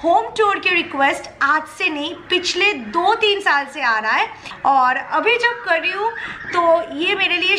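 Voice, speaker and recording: A young woman vlogging casually and emotionally as she walks a handheld camera through the bare rooms of an old flat. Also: very high voice, a little hiss or hum. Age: young adult. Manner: chatty, emotional, mixes in English phrases. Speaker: YouTube vlogger. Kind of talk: casual home tour vlog.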